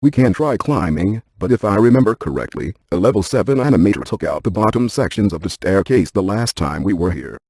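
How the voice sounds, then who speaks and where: An actor playing a brainy, dryly cautious ghost hunter scientist in a video game, speaking in a deadpan, precise, technical way. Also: male voice, fairly low pitch, a little fast, hardly any pauses, breathy edge, dry recording. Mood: matter-of-fact, explanatory. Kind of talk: scripted video game character dialogue.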